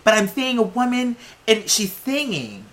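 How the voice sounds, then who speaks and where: A comic storyteller putting on a phony male TV psychic who speaks haltingly, with drawn-out, feigned innocence and wonder. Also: mid-range voice, very animated, fairly bright tone. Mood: halting, channeling. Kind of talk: mocking impression of a psychic reading.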